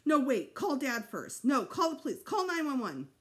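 A woman's voice: on the high side, big pitch swings, normal speed, pitched above the performer's own voice. Woman playing a dramatic, anxious mother. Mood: panicked. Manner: emphatic, dramatic. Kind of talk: children's audiobook character voice.

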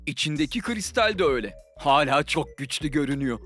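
An actor voicing a calm, brainy animal inventor in a children's cartoon, with a measured, explanatory way of talking. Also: mid-range voice, natural voice. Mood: focused, serious. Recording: music behind the voice.

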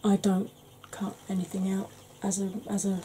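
A soft-spoken woman in her forties with a Welsh-tinged northern English accent chats slowly through a makeup tutorial. Her voice is very dark and mellow, with a wry edge. A faint hum sits behind her in a small room at home. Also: medium pitch, completely flat delivery. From Wales and Yorkshire. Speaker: makeup vlogger.

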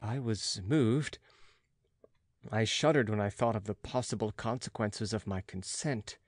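A man reading literary narration as an audiobook narrator, measured and dramatic. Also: lowish voice, dry recording.

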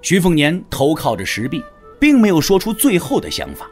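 Male narrator for martial-arts drama; lively intonation, slightly high voice, scripted storytelling. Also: brisk, faint music behind.

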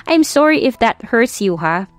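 A woman's voice: fairly high pitch, sing-song, takes it slowly, no echo, soft background music. A woman voicing a smug, mocking, faux-sweet young rich woman in an audio drama, coyly taunting and slipping into English. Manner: coy taunting, code-switching into English. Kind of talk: audio drama storybook acting.

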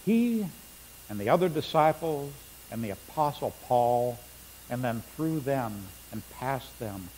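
A man's voice: mid-range voice, lively intonation, a little slow, darkish tone. A middle-aged male pastor preaching a sermon in a church sanctuary, reading scripture aloud with rising emphasis. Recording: long church reverb, faint hum.

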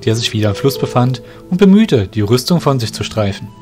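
A man's voice: medium pitch, big pitch swings, a little fast, darkish tone. A man narrating a scripted fantasy audio drama as its storyteller. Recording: music behind the voice.

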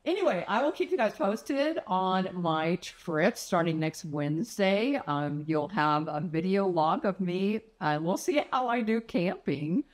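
Older lady rambling to her phone in the kitchen, lowish voice, lots of ums. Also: animated, a little slow, few pauses. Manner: chatty, friendly, rambling with fillers and laughs. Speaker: vlogger. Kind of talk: casual chat to camera. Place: home kitchen, indoors. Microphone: phone camera at arm's length.

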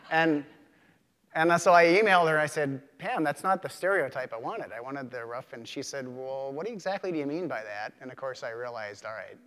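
A man's voice: mid-range voice, moderate pace, fairly bright tone. American police chief, male, middle-aged, casual emcee storytelling, medium register, hall reverb. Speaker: police chief, panel host. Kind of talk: casual anecdotal introduction to an audience. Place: stage of an auditorium.

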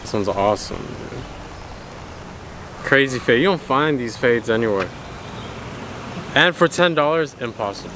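A man with a fairly low voice fires off quick, excited reactions while he films outside. Clear street and traffic noise runs under everything he says. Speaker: friend filming the haul. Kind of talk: casual chat. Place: outdoors on a street.

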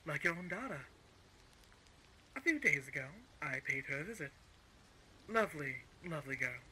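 An actor playing a smug, menacing male crime boss in an audio roleplay, speaking in a mocking, condescending and deliberate way. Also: on the high side, expressive, sharp tone, slight background noise, low-quality recording. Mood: coolly menacing. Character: smug, menacing male crime-organization villain, coolly coercive. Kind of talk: scripted audio roleplay villain acting.

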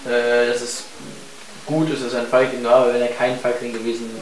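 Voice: mid-range voice, level tone, fairly quick, far from the mic. A person acting as casting director, giving casual feedback and instructions to auditioners. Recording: echoey room from afar, music behind.

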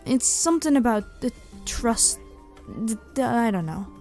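A woman's voice: on the high side, lively intonation, a little slow, a little mellow, faint music behind. A woman voicing an elven tavern keeper in an ASMR roleplay, familiar and teasing with the listener, protective and tough. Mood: affectionate, chatty. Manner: familiar, teasing, uses pet names.

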